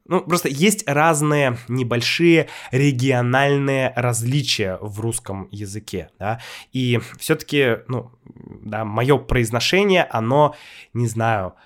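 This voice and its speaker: A man who teaches Russian, talking through his story in a casual, thoughtful way with frequent pauses and fillers. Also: medium pitch. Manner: thoughtful, hesitant, with many fillers and restarts. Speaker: Russian language teacher and YouTuber. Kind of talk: casual explanatory monologue.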